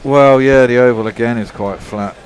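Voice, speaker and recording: A man's voice: mid-range voice, moderate pace, slight background noise, rough recording. A man commentating on cricket in casual chat, thoughtful and loose with filler words. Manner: thoughtful, lots of "you know" fillers.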